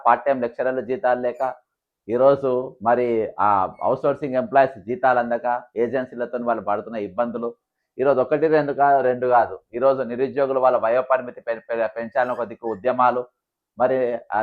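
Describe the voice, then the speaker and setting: Telangana man, thin phone-like sound. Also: medium pitch. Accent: Telangana Telugu. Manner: critical, listing grievances point by point. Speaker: political activist hosting his own channel. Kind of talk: political commentary addressed to viewers.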